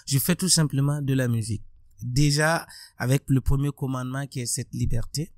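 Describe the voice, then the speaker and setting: Man, gravelly, dry close sound, reflective, stop-and-start phrasing. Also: medium pitch, no echo. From Burkina Faso. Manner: thoughtful, halting with many restarts. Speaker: musician guest. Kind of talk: reflective interview talk. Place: bright room with plants and large windows. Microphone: studio mic on a boom arm.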